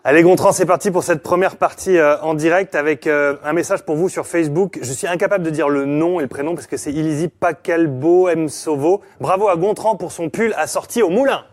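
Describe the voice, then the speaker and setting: A man hosting a live regional TV show, relaxed and friendly, laughing easily. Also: a little high, brisk, hardly any pauses. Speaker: TV show host.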